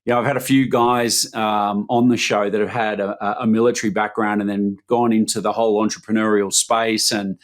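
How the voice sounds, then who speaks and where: A man's voice: lowish voice, flat delivery, brisk, few pauses. A man hosting a business podcast, asking long, rambling questions full of ums and restarts. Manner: hesitant, rambling questions with many fillers. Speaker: podcast host. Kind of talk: conversational podcast interview.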